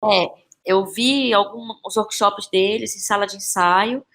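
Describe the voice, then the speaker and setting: A woman with a Brazilian accent and a mid-pitched voice talks in a casual, reflective interview style. She feels her way through sentences, repeating phrases and often checking in with short tag questions. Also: fairly bright tone. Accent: Brazilian Portuguese. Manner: halting, searching for words, repeats phrases, frequent tag questions. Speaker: playwright and actress, podcast guest.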